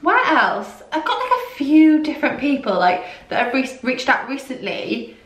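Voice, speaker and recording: A woman in her thirties from Wales with a slight Welsh accent, chatting brightly to camera about things she has bought, with lots of rambling asides. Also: sits high, sing-song, moderate pace, recorded from a distance. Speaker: fashion vlogger. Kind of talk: casual haul chat to camera. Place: small bright room indoors, in front of a door. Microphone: camera a few feet away. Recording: roomy sound, mic set back.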